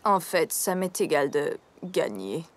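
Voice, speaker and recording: A woman voicing an impassive, literal-minded young female pony in a French cartoon dub, with a deadpan monotone delivery. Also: low-pitched, slow pace, soft background music, exaggerated voice. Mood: flat, impassive.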